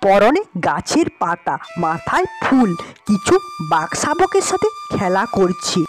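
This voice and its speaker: A woman's voice: mid-range voice, wildly swooping pitch, measured pace, faint music behind. A woman narrating a scripted cartoon fairy tale as a storyteller.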